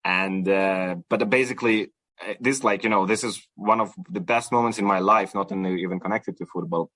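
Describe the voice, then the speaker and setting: A man's voice: deep voice, a bit bright, dry recording, rough recording. A young man from Ukraine, chatting as a podcast guest with many fillers and restarts. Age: young adult. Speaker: supporters club guest. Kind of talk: casual podcast interview.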